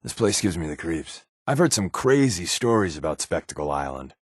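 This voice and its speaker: An American actor playing a sardonic, wisecracking secret agent companion in a video game, with a wry, offhand delivery. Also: male voice, low-pitched, very animated, moderate pace, husky, dry recording, studio quality, heavily acted. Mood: wry, musing.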